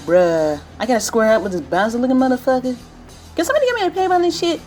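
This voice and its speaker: A brash female street fighter acted by a woman with a raised, very animated voice. She taunts in cocky slang like a cartoon brawler, over faint music. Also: high-pitched, quick pace. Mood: cocky taunting. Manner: trash-talking, slangy, swaggering. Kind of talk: animated fight show acting.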